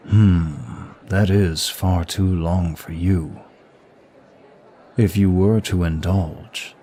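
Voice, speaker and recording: A man playing a suave, teasing demon prince of lust in an ASMR roleplay, bored and sadistic, with a languid, husky delivery. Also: low voice, a little mellow, slight background noise. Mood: sly, probing.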